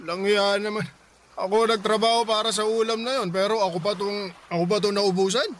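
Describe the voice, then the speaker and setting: A man's voice: high voice, steady tone, a little fast, fairly bright tone, slight background noise. A man playing an irritable, grumbling father who drinks, in a Tagalog radio drama. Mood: irritated. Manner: grumbling, argumentative. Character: irritable, heavy-drinking father coming home hungry.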